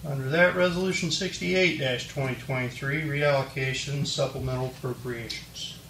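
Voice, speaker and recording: A man's voice: medium pitch, steady tone, normal speed, vocal fry, fairly bright tone. A man from Ohio presenting resolutions and reading dollar figures steadily at a town council meeting. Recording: echoey room, far mic, background noise.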